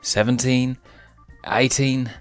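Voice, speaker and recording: A man's voice: mid-range voice, flat delivery, slow, clean tone, soft background music, pitched above the performer's own voice, natural voice. A man voicing a playful, cocky young male lion cub prince in a boyish, jokey manner. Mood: curious, joking.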